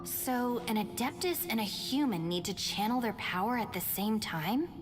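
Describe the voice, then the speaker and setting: A woman's voice: a little high, animated, moderate pace, close to an everyday voice. Woman playing a level-headed, caring young woman. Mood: attentive. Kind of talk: video game voice acting. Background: game music.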